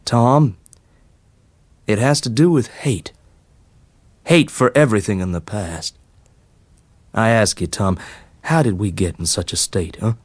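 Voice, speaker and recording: A man voicing a rough, folksy fellow, opinionated and bitter but kindly, in colloquial rustic speech. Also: lowish voice, a little slow, pauses often, no room sound. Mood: bitter, philosophical.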